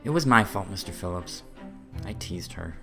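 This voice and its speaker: A woman's voice: extremely low pitch, expressive, moderate pace, over music, voice pushed down. A woman voicing an earnest, apologetic schoolboy in a storybook, sincere and contrite in manner. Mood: owning up.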